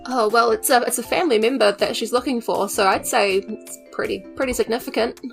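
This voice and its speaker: A woman's voice: on the high side, brisk, gravelly, soft background music. A woman playing a polite, unsure young quest-giver in a tabletop game, speaking in a hesitant, friendly way.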